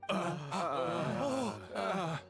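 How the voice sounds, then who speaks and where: A man's voice: high-pitched, animated, drawn-out words, creaky, music in the background, exaggerated voice. A man playing a frightened, faltering older clan retainer in a video game, stammering fearfully.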